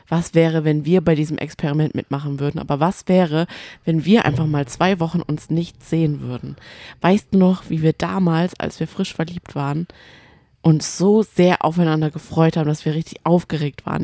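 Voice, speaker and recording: A woman's voice: low voice, mellow, no room sound, studio quality. A woman, chatting casually as a podcast co-host about reality TV.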